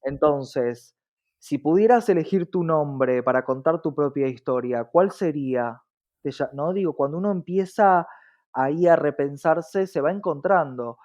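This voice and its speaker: A man's voice: fairly high pitch, measured pace, a little mellow. An Argentine man speaking reflectively as an interview guest, often adding short tag questions to check in, on a dull lo-fi recording. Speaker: podcast guest, diversity professional.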